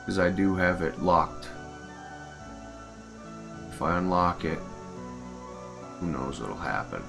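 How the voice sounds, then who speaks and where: A man's voice: low voice, expressive, takes it slowly, gravelly. A man giving casual, informal gameplay commentary, muttering to himself as he plays and tests things. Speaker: streamer and mod creator. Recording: game music behind the voice. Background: video game music.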